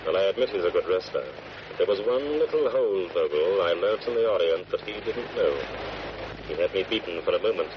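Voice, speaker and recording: Commanding crime fighter, low voice, muffled hissy old radio recording. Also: male voice, animated, a little fast. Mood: cool, taunting. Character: commanding, self-assured male crime-fighting hero. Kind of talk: old-time radio drama acting.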